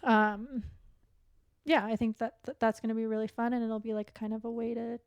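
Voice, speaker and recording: Higher-voiced woman, halting and reflective. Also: flat delivery, clean tone, dry recording. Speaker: podcast guest, competitive athlete and coach. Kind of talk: candid casual interview chat.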